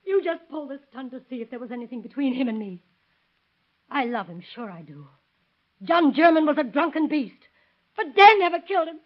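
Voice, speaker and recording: An actress playing a distraught, defiant middle-aged farm wife, pleading and vehement, in a 1930s radio melodrama. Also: female voice, high voice, big pitch swings, brisk. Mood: desperate, defiant. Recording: dull, muffled vintage radio recording.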